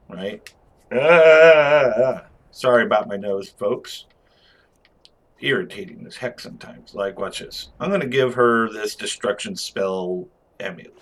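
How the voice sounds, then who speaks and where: A man's voice: lowish voice, a bit bright. Rambling, slangy man reviewing game mods. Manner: rambling, slangy, chuckling delivery. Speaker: host of a game mod review. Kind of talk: casual unscripted game commentary.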